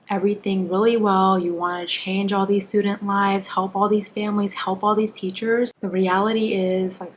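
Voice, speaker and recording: A young woman bilingual in Korean and English speaks in a medium-pitched voice. She chats informally and candidly, like a creator giving career advice to viewers, with a self-deprecating way of talking. There is a little noise behind her. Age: young adult. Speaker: school psychologist, video creator. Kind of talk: casual advice vlog.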